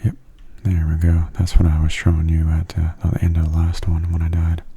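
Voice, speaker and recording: A man giving soft-spoken, half-whispered ASMR commentary over a video game, in a gentle, unhurried way. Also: low voice, monotone, breathy edge.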